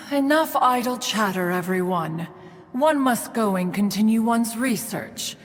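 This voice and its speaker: A woman's voice: fairly high pitch, expressive, slow pace. A woman playing a proud, ancient adeptus in a video game, speaking in formal, archaic, lofty phrases and calling herself "one". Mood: stately, unhurried. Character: stately ancient female adeptus, proud inventor, fond elder. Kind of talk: scripted video game character dialogue. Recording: game audio with long reverb, music behind.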